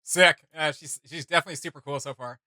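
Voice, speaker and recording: A woman's voice: low-pitched, steady tone, fast, sharp tone, dry recording, studio quality. A woman chatting casually as a reaction video host, informal and enthusiastic, feeling her way through her thoughts.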